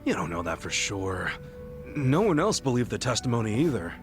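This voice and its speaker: An American man acting a loyal, warm-hearted ex-gangster in a video game cutscene, earnest, plain-spoken and streetwise. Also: mid-range voice, sing-song, normal speed, music in the background, lightly acted. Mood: sympathetic, probing.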